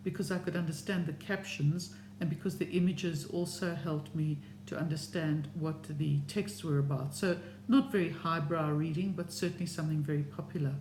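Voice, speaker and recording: Encouraging middle-aged speaker, echoey room. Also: mid-range voice. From South Africa. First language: Serbian. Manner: measured, encouraging. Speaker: language learning vlogger. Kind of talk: opinion talk to camera. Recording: echoey room with steady hum.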